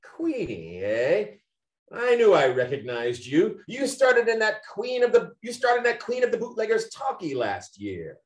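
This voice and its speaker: A brusque federal agent from a 1920s stage play, acted out by an amateur player. The delivery is officious and suspicious, with very lively swings in pitch. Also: measured pace.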